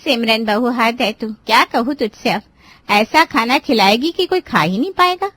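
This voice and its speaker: A woman's voice: fairly high pitch, lively intonation, moderate pace, fairly bright tone, no room sound, pitched above the performer's own voice. Woman putting on a bossy, scolding mother-in-law. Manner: reproachful, lecturing. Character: older scolding mother-in-law, bossy female head of household. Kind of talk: Hindi cartoon story dialogue.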